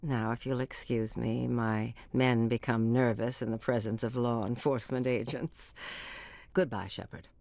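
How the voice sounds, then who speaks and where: Low-pitched, calculating crime boss. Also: female voice, steady tone, a little mellow, no echo, heavily acted. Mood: cool, self-assured. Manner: formal, measured, smooth-talking and veiled in threat. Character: cool, calculating female crime syndicate boss.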